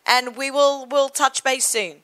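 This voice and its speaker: A woman with an Australian accent hosting a chatty radio show, friendly and quick to laugh as she interviews guests. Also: sits high, steady tone, a bit bright, clean tone. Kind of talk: casual on-air interview chat.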